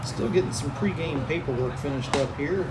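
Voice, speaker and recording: High nasal man calling baseball. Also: normal speed. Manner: chatty, easygoing play-by-play. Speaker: play-by-play announcer. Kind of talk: baseball commentary. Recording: outdoor booth with loud noise, slightly distant mic.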